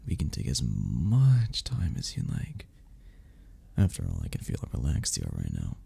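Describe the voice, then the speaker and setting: A man playing a flirty, gentle cuddle club member in an intimate romantic ASMR roleplay, speaking softly with a breathy, gravelly voice that often drops toward a whisper. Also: a bass voice, wildly swooping pitch, full character voice. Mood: tender, hushed. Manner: soft, slow, teasing, with frequent chuckles.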